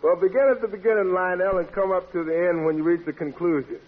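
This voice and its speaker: A man's voice: sits high, steady tone, fast, very noisy. Tinny vintage American radio jive emcee, male. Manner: playful, florid hepcat slang. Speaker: radio show host and announcer. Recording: tinny band-limited vintage 1940s radio recording.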